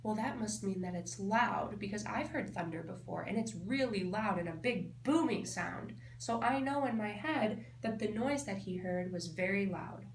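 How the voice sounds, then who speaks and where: A woman with a mid-range voice reads a children's story aloud as a teacher would. She is expressive and explanatory, thinking aloud for young listeners. The room is echoey, with faint noise behind her. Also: medium pitch, moderate pace, few pauses.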